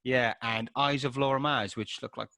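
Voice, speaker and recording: A Welsh-accented man co-hosts an informal film review. His voice is bright and sharp-edged, recorded dry with hardly any room sound. He chats casually and feels his way a little hesitantly through his points. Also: medium pitch, steady tone, normal speed, creaky, no room sound. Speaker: film review show co-host.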